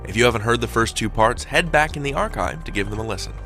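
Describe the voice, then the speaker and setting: A man's voice: mid-range voice, animated, quick pace, a bit bright, soft background music. A man hosting a podcast, reading a scripted introduction with wry, dramatic emphasis. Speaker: podcast host.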